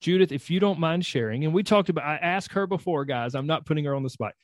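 A man's voice: a little high, animated, fast talker, no room sound. A man hosting an interview, enthusiastic and encouraging, rambling a bit with restarts. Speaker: host. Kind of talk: interview, coaching podcast.

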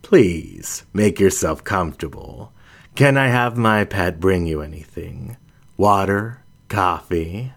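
A man performs a poised lioness matriarch from an audiobook. Her voice is very low and unhurried, every phrase evenly placed and commanding. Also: gravelly, heavily acted. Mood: composed, gracious. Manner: measured, even, deliberate. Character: composed lioness mystic, poised older dominant woman. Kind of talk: audiobook character dialogue.

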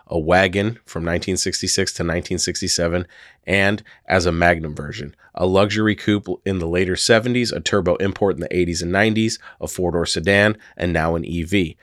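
Low-voiced, hoarse male podcast host. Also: a bit bright, dry recording, clean studio sound. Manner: rambling, chatty with many fillers. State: hoarse from a sore throat. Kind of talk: casual podcast talk.

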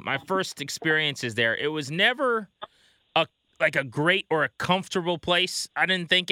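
A man's voice: fairly high pitch, bright, forceful tone, smooth, no room sound. An American man hosting a baseball show, interviewing in a casual, conversational way.

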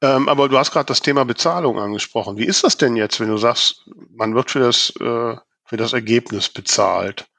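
A man's voice: mid-range voice, normal speed, gravelly, hardly any pauses, bright, forceful tone, no room sound. A man hosting a podcast, asking questions in a casual conversational way. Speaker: podcast host, interviewer.

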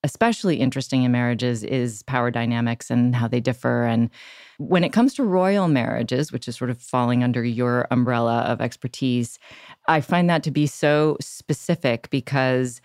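A woman's voice: a bass voice, moderate pace, darkish tone, no echo, clean studio sound. A woman hosting and interviewing on a podcast in a conversational style.

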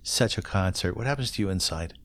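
A man's voice: sits low, animated, a little fast, fairly bright tone, dry recording. A man interviewing casually as a podcast host, inquisitive and conversational, checking back on what he hears. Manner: inquisitive, repeats and confirms answers.

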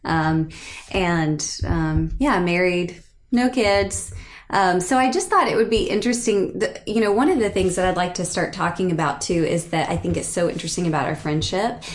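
A woman's voice: lowish voice, normal speed. A woman in her thirties hosting a podcast, leading a casual chat with friends in a chatty, easygoing way. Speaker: podcast host.

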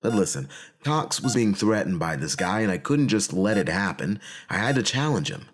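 A man's voice: fairly low pitch, very animated, normal speed. A man playing a frustrated, self-justifying colonel recording a conversational personal log in an audio drama. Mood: frustrated, wry. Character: frustrated, self-justifying male military colonel, a time traveler.

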